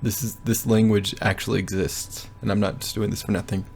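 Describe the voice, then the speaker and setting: A young man giving a casual, rambling progress update from his bedroom, often pausing to think aloud. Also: fairly low pitch, level tone, creaky, a little mellow, slight background noise. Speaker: language learner vlogger. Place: bedroom at home.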